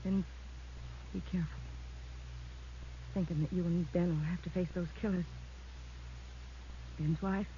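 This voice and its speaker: An actress playing a grieving, quietly determined policeman's wife in a 1940s radio drama, hushed and earnest. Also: on the low side, fairly even intonation, a little slow, long pauses, close to an everyday voice. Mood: subdued, anxious. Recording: muffled, noisy vintage radio recording.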